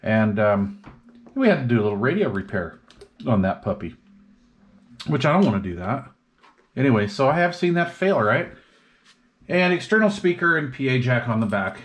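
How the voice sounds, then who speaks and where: A man talks casually and slangily, thinking aloud as he demonstrates gear at a workbench. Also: mid-range voice. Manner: laid-back, slangy, muttering to himself. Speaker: hobbyist vlogger demonstrating radio repair. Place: indoor radio workbench. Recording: clearly noisy workbench recording with clicks.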